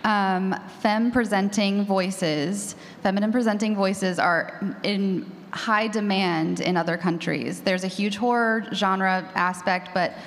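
A woman's voice: mid-range voice, steady tone, slow pace, hardly any pauses. A woman chatting casually as a panelist at a live podcasting discussion. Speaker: panelist, horror fiction podcaster. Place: large conference room with audience. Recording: echoey hall.

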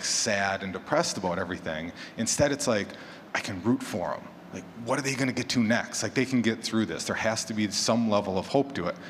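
A man speaking casually on a conference panel as a podcaster, conversational and full of hypothetical examples. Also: fairly low pitch. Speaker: panelist, horror fiction podcaster. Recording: echoey hall, clear background noise.

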